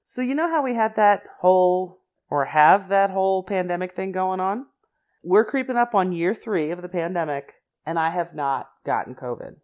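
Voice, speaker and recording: A woman with a low-pitched voice talks through her own podcast in a loose, chatty way. She wanders off into asides as she goes, and her voice has a slight rasp and the stuffiness of someone still getting over the flu. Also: darkish tone. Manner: chatty and rambling, many "like" and "you know" asides. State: slightly raspy and congested after the flu. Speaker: podcast host. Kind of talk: casual podcast monologue.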